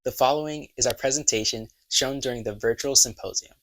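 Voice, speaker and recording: A man's voice: mid-range voice, fairly quick, fairly bright tone, poor audio. A teenage young man from Miami, Florida, reading a scripted introduction as a student intern. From Miami, Florida, United States. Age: teens. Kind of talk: scripted narration.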